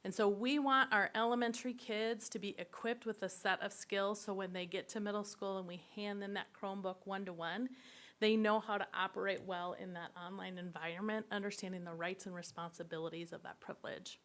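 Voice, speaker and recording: A woman's voice: medium pitch, steady tone, brisk, fairly bright tone. A woman on district staff giving an upbeat presentation at a public school board meeting, explaining slides as she goes.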